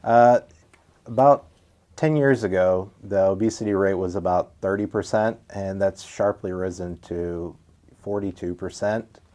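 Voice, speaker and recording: A man, a surgeon who grew up speaking Czech, answering interview questions calmly and plainly. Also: low voice, unhurried. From West Texas. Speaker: surgeon, invited guest.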